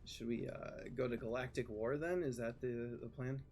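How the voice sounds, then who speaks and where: A man's voice: medium pitch, fairly even intonation, moderate pace, a little mellow. A man hosting a casual chat while gaming, talking in a flustered, rambling way. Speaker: host.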